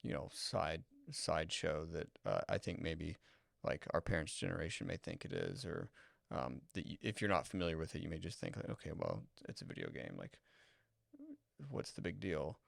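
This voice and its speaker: A man's voice: extremely low pitch, sing-song, normal speed, gravelly, dry recording, studio quality. A man co-hosting a podcast in casual chat, agreeable and explaining his points at length. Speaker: podcast co-host.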